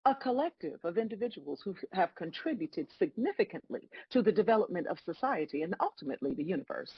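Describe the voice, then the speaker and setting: A woman playing God as a wry, patient, bemused celestial judge, explaining things in a measured way at a table read of a comedy. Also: fairly low pitch, big pitch swings, slightly rough, dry recording, muffled recording, heavily acted. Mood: calm, corrective.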